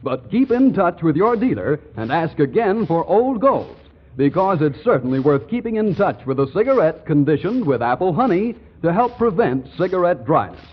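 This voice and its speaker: A man working as a radio announcer, delivering a commercial pitch in 1940s broadcast style. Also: big pitch swings, normal speed, few pauses, breathy edge, lo-fi sound.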